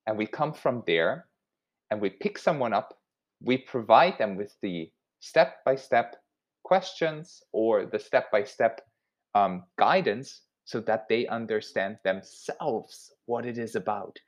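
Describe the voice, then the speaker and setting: A man's voice: fairly low pitch, animated, takes it slowly. A man from Switzerland giving an informal motivational talk as an activist, speaking in a conversational way. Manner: conversational, acts out imagined dialogue.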